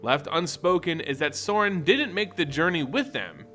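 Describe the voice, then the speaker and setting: A man narrating a fantasy story from a script in a theatrical, deliberate way, with emphatic phrasing. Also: on the high side, sing-song, sharp tone. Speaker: lore video narrator and channel host. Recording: voice over background music. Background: music.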